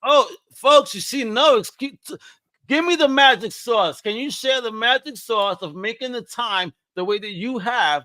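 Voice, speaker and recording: A man's voice: extremely high pitch, measured pace, pushed and bright, no room sound. A man with a Puerto Rican accent hosting a podcast interview, talking in an enthusiastic, slangy, hyped-up way. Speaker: podcast host. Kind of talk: casual interview chat.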